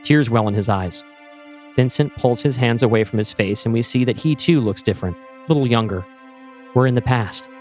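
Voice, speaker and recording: A man narrating a scripted screenplay in the present tense, with measured, descriptive storytelling. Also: medium pitch. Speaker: narrator and host.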